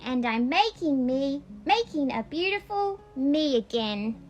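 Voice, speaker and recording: A woman's voice: high-pitched, big pitch swings, a little slow, lo-fi sound, faint music behind. A woman reading aloud in a childlike storybook manner, with a casual, homemade read-along style. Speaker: vlogger reading a picture book aloud.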